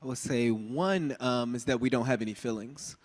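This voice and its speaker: Thoughtful male panelist, mid-pitched, pauses with "um" a lot. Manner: measured and thoughtful, with many "um"s. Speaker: relationship panel guest. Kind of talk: answering panel questions.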